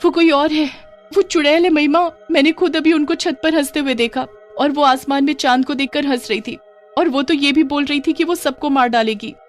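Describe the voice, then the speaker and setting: A woman's voice: sits high, steady tone, moderate pace, faint music behind, voice pushed up, natural voice. A woman voicing a frightened, determined young woman in a horror story, urgent and agitated. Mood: panicked.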